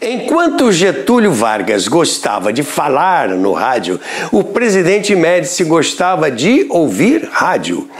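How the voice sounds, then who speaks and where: A man hosting a radio history show, giving measured, storytelling documentary narration. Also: fairly high pitch, wildly swooping pitch. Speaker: radio history podcast host.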